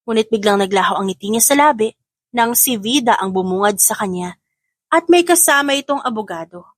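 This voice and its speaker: A woman's voice: medium pitch, expressive, a little fast, fairly bright tone, dry recording, studio quality. A woman reading scripted dramatic narration as an audiobook narrator.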